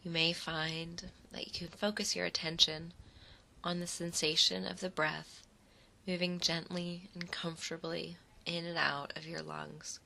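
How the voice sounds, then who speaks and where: A woman guides a relaxation exercise in a low voice with a noticeable creak. She takes her time, letting short, soothing phrases settle between pauses. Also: level tone, pushed and bright, rough recording. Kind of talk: guided imagery meditation.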